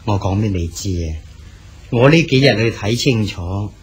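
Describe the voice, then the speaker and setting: A man's voice: on the low side, normal speed, husky. An actor playing an exiled male poet official in his sixties, easygoing and consoling in a radio drama. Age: 60s. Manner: calm, good-humoured, consoling.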